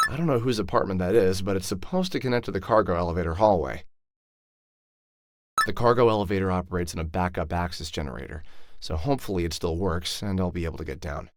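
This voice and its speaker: A man's voice: deep voice, fast talker, dry recording, close to an everyday voice. A man voicing a wry male protagonist in a game, talking to himself in a dry, sardonic way. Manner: dry, wry self-talk. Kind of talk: game dialogue.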